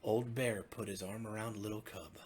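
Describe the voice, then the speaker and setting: A man, a pastor, narrating a bedtime story for children in a gentle, expressive way with deliberate phrasing. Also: on the low side, a little hiss or hum.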